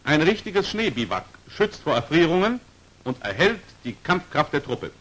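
A male narrator with a fairly high, animated voice delivers scripted 1940s instructional film commentary. His is formal and precisely articulated, with pitch that moves widely from phrase to phrase. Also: moderate pace, breathy edge, poor audio. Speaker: narrator of a military training film.

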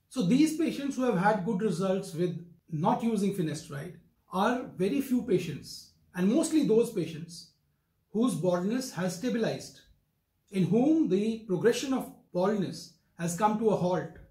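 An older man with an Indian accent, giving a formal, emphatic explanatory talk as a doctor in a measured manner. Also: sits high, mellow. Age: older, around 60s. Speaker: doctor, hair transplant surgeon. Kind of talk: explanatory medical talk to camera. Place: wood-furnished office, indoors. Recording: roomy, echoing indoor sound.

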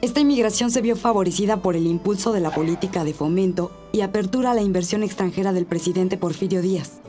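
A woman's voice: mid-range voice, fast. A woman reading formal scripted documentary narration. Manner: even, formal. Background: music.

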